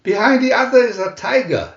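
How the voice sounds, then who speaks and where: A man's voice: very high-pitched, fairly quick, creaky, slight background noise, pitched above the performer's own voice. A man voicing a scheming, taunting cat-themed villainess in a storybook reading. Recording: dull lo-fi, roomy echo.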